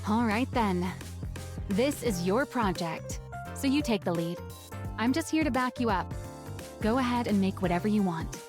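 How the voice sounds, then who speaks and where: A woman's voice: mid-range voice, animated, moderate pace, pauses often, music in the background, natural voice. A woman playing a wry, composed, supportive female scientist who teases her young charge in a video game. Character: teasing, supportive adult female scientist, mentor to child. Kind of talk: voiced game event dialogue.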